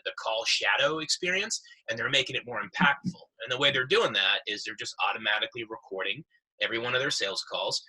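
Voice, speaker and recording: A man's voice: on the low side, bright, forceful tone, breathy edge, low-quality recording. A man being interviewed as a sales executive, explaining conversationally with examples in an emphatic, informal way. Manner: emphatic, informal, acts out quoted remarks.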